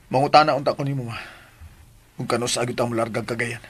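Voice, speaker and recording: A man playing an earnest, cautious elder brother in a Filipino radio drama, with a husky voice and a Filipino accent. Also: medium pitch, fairly even intonation, fast, lightly acted.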